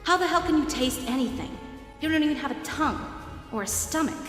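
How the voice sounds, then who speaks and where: A woman's voice: high voice, lively intonation, fast talker, fairly bright tone, soft background music. A woman playing a sassy, defiant young woman in a comic dub, indignant and sarcastic. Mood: incredulous.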